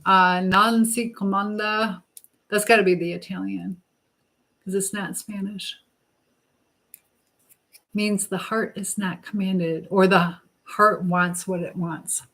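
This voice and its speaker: A woman hosting a casual live chat, reading out viewer comments and thinking aloud in a halting, rambling way. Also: mid-range voice, steady tone, fairly bright tone, poor audio. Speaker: livestream host chatting with live viewers.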